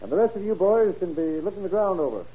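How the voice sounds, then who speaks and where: A methodical middle-aged country sheriff in a radio crime drama. His voice is rough-edged and highly inflected, with plain, folksy phrasing. The sound is the dulled one of a vintage broadcast. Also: sing-song, highs cut off, heavily acted. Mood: brisk, commanding. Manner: folksy, plain-spoken, deliberate. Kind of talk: old-time radio crime drama acting.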